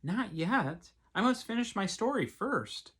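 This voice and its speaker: A man voicing a patient, gentle mother bird who instructs her child in a children's storybook. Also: sits high, very animated, a little slow, slightly rough, pitched above the performer's own voice, full character voice. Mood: gently firm.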